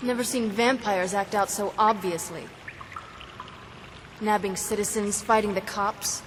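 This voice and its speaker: A woman's voice: medium pitch, fairly even intonation, unhurried, lightly acted. A tough, sardonic half-vampire heroine, voiced by a woman, from a video game. She speaks in a mid-range voice with dry wit. Game audio noise runs under her lines. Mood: wry. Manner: dry, sarcastic.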